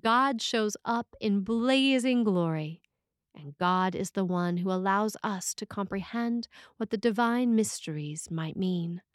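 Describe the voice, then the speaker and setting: A woman reads a prepared sermon in a dry, echo-free recording. Her delivery is measured and expressive, with the deliberate phrasing of a priest. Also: medium pitch. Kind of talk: scripted sermon, read aloud.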